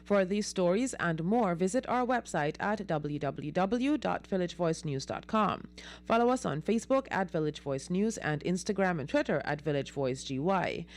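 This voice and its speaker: A woman's voice: fairly low pitch, expressive, moderate pace. A woman presenting the news on radio, reading from a script in a studio.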